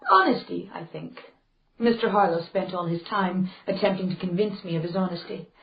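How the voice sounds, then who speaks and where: An actress playing a courteous, quietly probing newspaper reporter in a radio crime drama, composed and pointed. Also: female voice, fairly low pitch, moderate pace, lo-fi sound, lightly acted. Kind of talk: detective radio drama acting.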